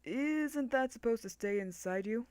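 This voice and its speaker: A woman's voice: on the high side, expressive, a little slow, darkish tone, clear, resonant tone, voice pushed up. A woman voicing a laid-back, joking male skeleton with a protective big-brother streak, in a casual, slangy dramatic reading. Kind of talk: dramatic fan-fiction reading.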